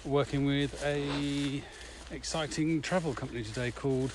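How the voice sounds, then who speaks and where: A man's voice: mid-range voice, steady tone, moderate pace. A man co-hosting a casual podcast, talking conversationally while out walking. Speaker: podcast co-host. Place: outdoors, on a village walk. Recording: noisy outdoor recording with ambient sound.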